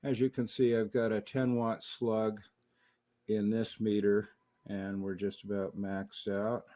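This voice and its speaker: A man's voice: on the low side, flat delivery, measured pace, dark tone. A man giving an unscripted, hands-on technical demonstration of equipment, explaining each step methodically. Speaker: radio restorer demonstrating equipment.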